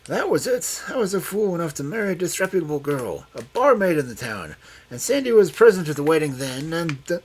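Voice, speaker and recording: A man voicing an agitated, feeble-minded old gentleman with guilty cunning, excitable and rambling, in an audiobook story. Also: fairly high pitch, measured pace, slightly rough, pitched above the performer's own voice, exaggerated voice. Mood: excited, bitter. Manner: excitable, rambling, muttering exclamations.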